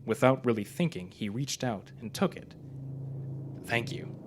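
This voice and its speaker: A man's voice: medium pitch, lively intonation, moderate pace. A man reading a scripted story aloud as dramatic narration at a live performance. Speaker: story reader. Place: performance club before live audience. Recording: live club recording, faint noise.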